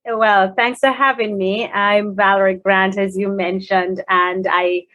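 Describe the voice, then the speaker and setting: A woman's voice: mid-range voice, level tone, a little slow, a bit bright, muffled recording. A woman with a Jamaican accent, answering conversationally as an interview guest. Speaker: guest, technology company founder.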